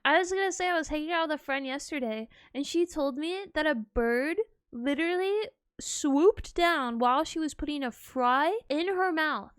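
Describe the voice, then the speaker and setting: A high-pitched woman chats through her reaction to a video, breaking into gasps, giggles and loud exclamations. Her voice sounds dry and close, with no room around it. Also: dry recording. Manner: giggly, gasping, exclaims loudly. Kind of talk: casual reaction commentary.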